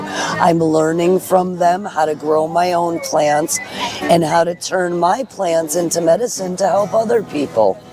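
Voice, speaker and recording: Female interview guest, middle-aged, hoarse, candid and earnest, music in the background. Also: deep voice. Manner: frank, earnest. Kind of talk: candid personal testimony. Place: at a festival in a park.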